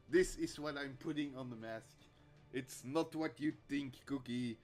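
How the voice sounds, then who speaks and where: A man's voice: medium pitch, takes it slowly. A man with a Dutch accent, a native Dutch speaker recovering from a flu, chatting casually and chuckling while he streams his mask making. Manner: relaxed, chuckles often, reads chat aloud. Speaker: cosplay mask maker streaming. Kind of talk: casual livestream chat while crafting. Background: faint music.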